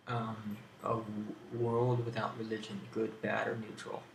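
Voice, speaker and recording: Guy with a creaky monotone rambling thru asmr q&a, hesitant, echoey amateur home recording w hiss. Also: fairly low pitch, unhurried. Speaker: video host. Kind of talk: casual ASMR question-and-answer.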